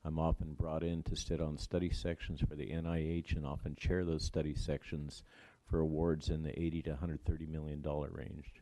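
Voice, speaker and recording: A man with an extremely deep, flat voice gives formal testimony as a subject-matter expert. His tone is dark and mellow, and he speaks in a measured, deliberate manner. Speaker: scientific expert witness.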